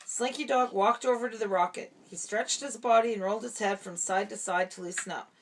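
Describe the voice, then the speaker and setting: A woman's voice: mid-range voice, brisk. A woman reading a storybook aloud to a small child, with casual asides between the lines. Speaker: parent reading a storybook to a toddler.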